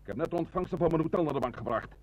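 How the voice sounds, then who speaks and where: Indignant, high-voiced hotel owner. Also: male voice, expressive, very fast, rough recording. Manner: protesting, brusque. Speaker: radio drama actor. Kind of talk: Dutch radio play acting.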